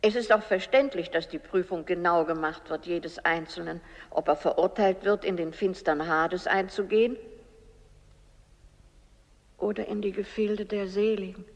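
An actress playing a kindly, humble old woman in a radio play, speaking in a gentle, patient, measured way. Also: lowish voice, steady tone. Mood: patient, explaining. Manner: gentle, measured, plain-spoken. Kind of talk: German radio play acting. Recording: long reverberant hall.

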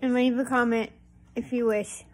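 A woman's voice: on the high side, little pitch movement, moderate pace. A woman in her thirties casually reviewing snacks for a home vlog, talking plainly and homespun into a phone held at arm's length in her living room. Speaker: vlogger. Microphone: phone camera at arm's length.

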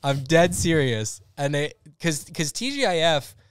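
A man's voice: fairly high pitch, animated, measured pace, clean tone, no room sound, studio quality. A man in his thirties, a podcast guest, chatting casually in a rambling, laid-back way.

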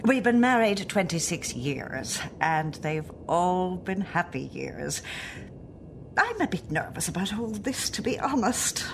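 A woman performing a polite, anxious Irish wife in middle age for a radio play. Her voice is low with lively swings of pitch, and she speaks hesitantly. There is noticeable noise on the recording. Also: slow, heavily acted. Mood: nervous. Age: middle-aged. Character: nervous, worried middle-aged wife and client.

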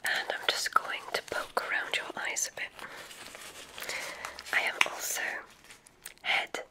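A woman performs an ASMR roleplay as a kindly witch examiner. She speaks in a breathy whisper with almost no voice behind it. Her delivery is slow, gentle and soothing, with softly theatrical phrasing. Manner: gentle, unhurried, soothing, theatrical word choice with soft repetitions. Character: kindly witch medical examiner at a magic school.

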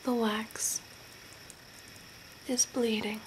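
A young woman playing an eerie, courteous zombie in a slow, deliberate, breathy ASMR whisper. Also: a little high, little pitch movement, poor audio. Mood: softly intrigued. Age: young adult. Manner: slow, deliberate, softly spooky. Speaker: ASMR role-play performer. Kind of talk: whispered ASMR role play. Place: small room indoors by a curtained window. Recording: clear background noise, ambient hiss.

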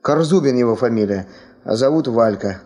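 An actor playing an experienced, good-natured male field hand in a 1970s radio drama, folksy and unhurried. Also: mid-range voice, animated, mellow, close to an everyday voice. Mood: good-natured, practical.

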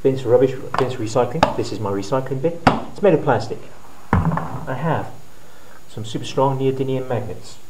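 Clear background noise sits behind a middle-aged man recorded in a classroom. His voice is medium in pitch. He talks through a practical demonstration step by step, in short, plain phrases, as a teacher would. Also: normal speed. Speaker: physics teacher presenting a how-to video. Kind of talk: hands-on demonstration tutorial. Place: indoor school science classroom. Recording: clearly noisy room, handling clicks.

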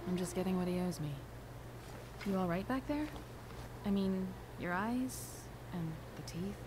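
An actress playing a jaded, sardonic young streetwalker in a noir video game, wry, cool and teasing. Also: female voice, mid-range voice, measured pace, background noise, natural voice.

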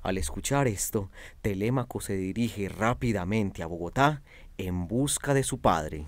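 A man's voice: on the low side, unhurried, slight background noise. A man narrating a radio drama in a scripted, dramatic storytelling style.

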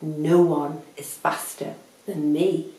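A woman's voice: low-pitched, little pitch movement, slow pace, mellow, roomy sound, poor audio. A woman voicing a boastful, vain male hare in a storybook, with a snappy, scornful manner. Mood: proud.

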